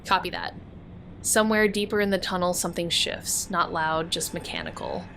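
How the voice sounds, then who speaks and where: A woman's voice: fairly low pitch, sing-song, normal speed, a bit bright, a little hiss or hum. A woman playing a tough, wry young sleuth who narrates in terse, clipped hard-boiled lines. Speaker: narrator and co-lead investigator.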